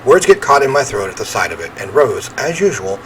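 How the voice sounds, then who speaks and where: A man reading visual novel narration aloud in an expressive storytelling way, with casual commentary. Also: mid-range voice, a little fast, slight background noise, rough recording. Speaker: video game let's player.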